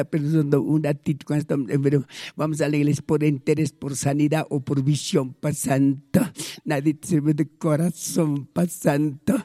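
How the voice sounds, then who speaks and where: A man's voice: fairly high pitch, dark tone, no room sound, studio quality. A man with a Peruvian Spanish accent praying aloud as a pastor, pleading fervently with repeated invocations. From Peru. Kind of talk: fervent spoken prayer.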